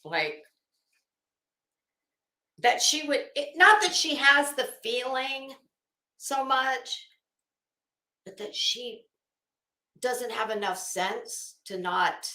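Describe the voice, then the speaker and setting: An American woman hosting a commentary show, reading documents aloud dramatically and adding casual, emphatic asides with drawn-out phrasing. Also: a little high, expressive, bright, forceful tone, echoey room, rough recording.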